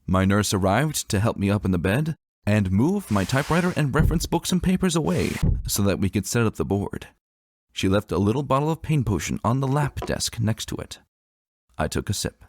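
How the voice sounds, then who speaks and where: Low-pitched, expressive male story narrator. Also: fairly quick, slight background noise. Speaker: narrator, dramatic reader. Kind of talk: first-person scripted story narration.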